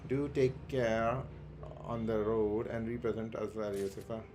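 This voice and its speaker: Guy streaming a game, reads the dialogue out loud kinda slow, trips over words, noisy background. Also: on the low side, steady tone. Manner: reads slowly and plainly with stumbles and restarts. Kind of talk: casual game stream, reading dialogue aloud.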